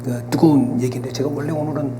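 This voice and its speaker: A man's voice: medium pitch, steady tone, over music. A man hosting a talk show with a sore throat from a cold, chatting and prompting his guest. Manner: chatty, quick agreeing backchannels. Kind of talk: casual interview talk. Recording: audible hum and background noise.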